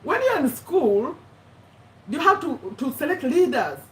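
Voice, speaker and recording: A woman's voice: sits high, very animated, a little slow, fairly bright tone. A woman, chatting casually to viewers on a live stream, often correcting herself and laughing. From Uganda. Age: 50s. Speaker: YouTube live host, business owner. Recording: echoey room, mic at a distance, faint ambience.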